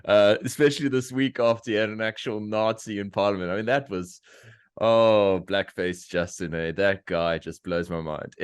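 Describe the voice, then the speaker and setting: Low-voiced South African podcast host. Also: male voice, dry recording, clean studio sound. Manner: opinionated, animated rants with laughs. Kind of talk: casual interview chat.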